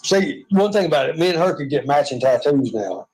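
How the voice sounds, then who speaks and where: A man's voice: fairly high pitch, expressive, a little fast, a little mellow, husky, low-quality recording. A man with a Southern US accent, chatting and joking in a rambling, self-mocking way from a hospital room.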